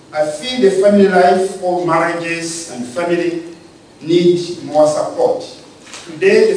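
A man from Uganda giving a measured, lecture-style talk on the church's role in society, pausing and restarting his phrases. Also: fairly high pitch, darkish tone, slight background noise. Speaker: speaker on church and state. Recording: echoey room from far off.

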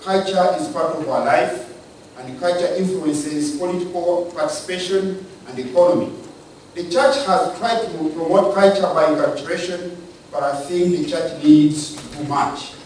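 A man from Uganda giving a measured, lecture-style talk on the church's role in society, pausing and restarting his phrases. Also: on the high side, a little mellow, a little hiss or hum. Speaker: speaker on church and state. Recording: echoey room from far off.

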